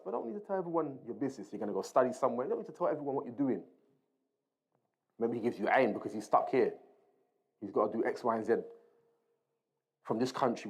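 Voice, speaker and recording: A man giving an informal Islamic lesson, explaining point by point in a conversational way, repeating key phrases and checking in with short tag questions. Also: medium pitch. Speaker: religious teacher reading and explaining a book.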